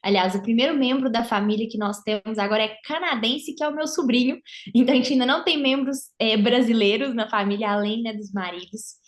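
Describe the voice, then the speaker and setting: A young woman from Argentina who grew up in Brazil, speaking with a Mineiro accent as a guest in a relaxed interview, reflective and sprinkled with fillers. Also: on the high side, a little fast. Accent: Mineiro (Minas Gerais) Brazilian Portuguese. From Argentina, raised in Brazil. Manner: warm, reflective, with frequent filler "é" and "né". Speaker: guest, social entrepreneur. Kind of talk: casual interview conversation.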